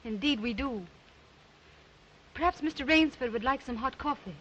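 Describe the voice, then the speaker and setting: An actress playing a poised, gracious young lady and gently chiding sister, polite and composed, on a muffled, noisy old film soundtrack. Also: high voice, normal speed.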